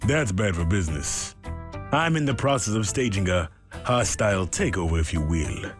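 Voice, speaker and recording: A man voicing a suave older opossum villain businessman in a comic dub, speaking politely with smug, measured menace. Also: low voice, animated, music in the background. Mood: smug, scheming.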